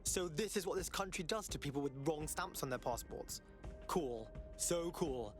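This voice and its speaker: A man plays a mouthy young rebel in a video game, with a notably high male voice. He talks colloquially with a sarcastic, jittery edge, over background music. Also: expressive, normal speed, natural voice. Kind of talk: video game cutscene acting.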